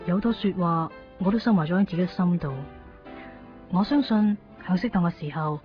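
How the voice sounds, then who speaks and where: Actress as guarded young hong kong woman in a radio play, reflective, muffled w soft music behind. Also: on the low side, brisk, natural voice. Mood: pensive, guarded. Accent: Hong Kong Cantonese. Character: reserved young woman keeping her feelings to herself. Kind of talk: radio drama inner monologue and dialogue.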